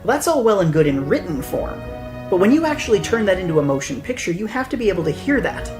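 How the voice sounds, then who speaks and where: Low-pitched woman narrating over music. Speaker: writer and filmmaker. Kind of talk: scripted behind-the-scenes narration. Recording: voice over clear background music.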